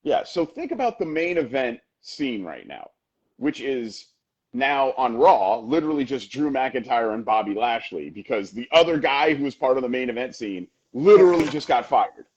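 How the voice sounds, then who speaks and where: A man co-hosting a wrestling podcast in casual chat, emphatic and talking in quick bursts. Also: mid-range voice, sing-song, darkish tone, breathy edge, dull audio. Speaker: podcast co-host.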